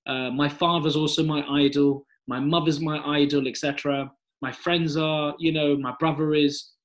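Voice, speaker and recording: A man's voice: a little high, flat delivery, normal speed. A man interviewing a singer, asking long rambling questions padded with filler phrases. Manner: rambling questions, frequent et cetera.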